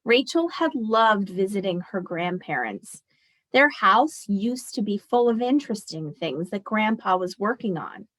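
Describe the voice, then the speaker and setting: A woman's voice: mid-range voice, lively intonation, a little slow, a bit bright, rough recording. A woman teacher reading a picture book aloud expressively and explaining it to children as she goes.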